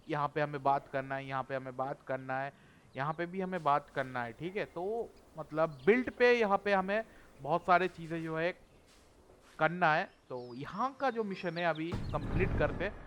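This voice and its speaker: Very fast-talking male gamer, noisy recording. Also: a little high, a bit bright. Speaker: gaming video host. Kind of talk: casual gameplay commentary. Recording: clearly noisy with clicks.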